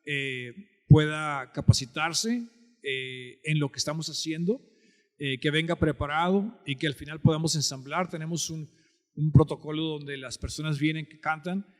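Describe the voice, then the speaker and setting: Reverberant Mexican-American man, slightly high voice. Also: steady tone, normal speed. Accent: Mexican-American border Spanish. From Texas-Mexico border, United States. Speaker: interview guest, church band director. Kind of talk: conversational explanation. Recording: long hall-like reverb.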